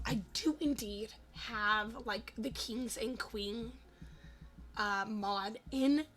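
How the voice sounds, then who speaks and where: Female gaming host, high and bright but gravelly and hoarse; casual, rambling voice-over. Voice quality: raspy, cracking in and out. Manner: rambling, self-deprecating, stumbles over words. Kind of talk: casual gameplay voice-over commentary.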